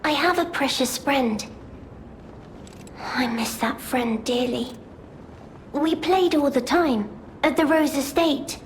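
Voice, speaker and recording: A woman voicing a naive, wistful doll girl in a video game, pleading softly and speaking of herself in the third person. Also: high-pitched, slow, pauses often, a little hiss or hum. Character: childlike wistful doll girl longing for freedom. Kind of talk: scripted video game character acting.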